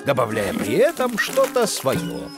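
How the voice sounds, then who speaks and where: A man voicing a kindly cartoon animal who explains things in an expressive, educational storytelling manner. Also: medium pitch, a little slow, music in the background. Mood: explaining.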